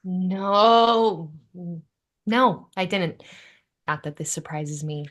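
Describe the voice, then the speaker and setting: A woman's voice: lowish voice, drawn-out words, fairly bright tone. A woman acting a bossy, caring, wry young woman in a sitcom table read. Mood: wry, flustered.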